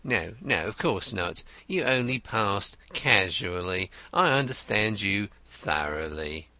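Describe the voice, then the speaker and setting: Man doing a shrewd detective, dry and teasing, slow questioning, bright tone, close dry sound. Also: on the low side, animated, dry recording, heavily acted. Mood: wry. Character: shrewd, wry male detective, dry and probing.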